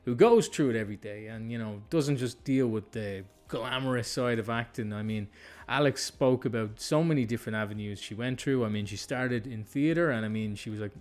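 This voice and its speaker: A man's voice: medium pitch, fairly quick, darkish tone, soft background music. A man hosting a podcast, chatting casually and putting his points in an informal, rambling way. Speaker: podcast host. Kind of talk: casual interview chat.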